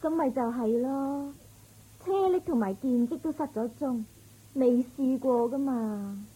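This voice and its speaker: A woman's voice: high voice, unhurried, warm tone, husky, low-quality recording. A woman playing a fretful queen and worried mother in a radio drama, with a plaintive, emotional delivery. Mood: worried, soothing.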